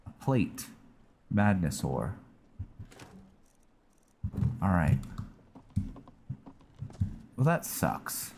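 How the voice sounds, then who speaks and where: A man's voice: low voice, sing-song, extremely slow, creaky, long pauses, a little mellow, a little hiss or hum. A man doing casual let's play commentary, thinking aloud with mild exclamations as he plays. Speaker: gamer playing and commentating. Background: game music.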